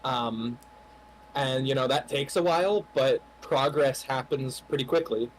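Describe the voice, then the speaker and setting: A man's voice: medium pitch, unhurried, low-quality recording. A man, a game developer, explaining the project's progress on a casual livestream in a thoughtful way, often saying "you know.". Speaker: game developer answering questions.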